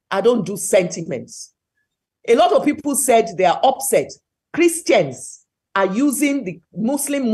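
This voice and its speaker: A middle-aged woman with a Nigerian accent speaks with fervor, her somewhat high voice swooping up and down. She presses her points hard and repeats words to hammer them home, like a speaker lecturing an audience. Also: darkish tone. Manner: emphatic, preachy, repeats words for stress. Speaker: webinar speaker. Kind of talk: impassioned talk.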